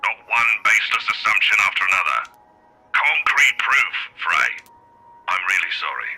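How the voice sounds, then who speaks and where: Deep, raspy police chief on phone. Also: male voice, sing-song, moderate pace, sharp tone, faint music behind, full character voice. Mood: stern. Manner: blunt, authoritative. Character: gruff, stern older male police chief, weary authority. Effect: phone call filter. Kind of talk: visual novel voice acting.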